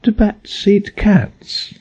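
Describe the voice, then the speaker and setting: A man's voice: high-pitched, drawn-out words, mellow, slightly rough, muffled recording, pitched above the performer's own voice, exaggerated voice. A man voicing a curious, chattering, earnest little girl who muses primly aloud to herself in an audiobook. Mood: dreamy. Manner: musing aloud to herself, prim. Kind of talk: audiobook character voice.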